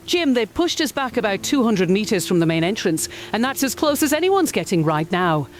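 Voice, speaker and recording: A woman's voice: mid-range voice, very animated, moderate pace, slight background noise, lightly acted. A woman playing an earnest news correspondent in a radio drama, giving a serious, articulate field report. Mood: urgent, serious.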